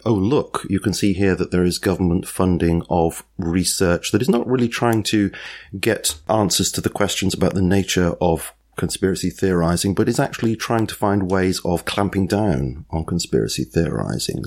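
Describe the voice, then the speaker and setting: Deep gravelly male podcast host. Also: darkish tone. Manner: measured, thoughtful questioning with frequent murmured assent. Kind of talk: interview.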